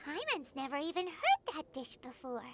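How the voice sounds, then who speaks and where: A woman voicing a tiny, excitable childlike fairy companion in a video game, squeaky and exclamatory. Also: moderate pace, heavy background noise. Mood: chatty, puzzled. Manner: speaks of herself in third person, exclamatory.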